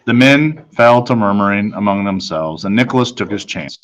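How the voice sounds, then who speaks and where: A man narrating a children's novel as a reader, in a measured, suspenseful storytelling manner. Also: on the low side, expressive, a bit bright, poor audio.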